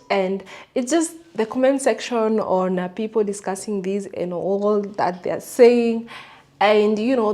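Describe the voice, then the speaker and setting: A woman hosts her channel in a relaxed, chatty way. Her pitch sits in an ordinary middle range. She stops often on small fillers while she gathers her thoughts. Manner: chatty, with frequent fillers like "uh" and "you know". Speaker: channel host. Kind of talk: casual reaction commentary.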